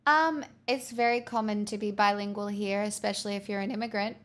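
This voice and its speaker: A woman's voice: medium pitch, fairly even intonation, brisk, fairly bright tone. A woman live streamer chatting casually and candidly with viewers, answering their messages with frequent restarts and the odd swear.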